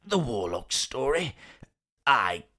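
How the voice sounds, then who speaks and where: Bright, forceful, grand stone gargoyle. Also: male voice, medium pitch, very animated, takes it slowly, heavily acted. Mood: aggrieved. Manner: grand, declamatory. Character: crumbling old male stone gargoyle, boastful and grumbling. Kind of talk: theatrical audio play acting.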